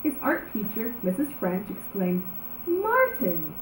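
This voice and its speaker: A woman narrating a children's story aloud in an animated, dramatic way, drawing out words for emphasis. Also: medium pitch, measured pace. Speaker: storybook reader. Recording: roomy echo, background noise.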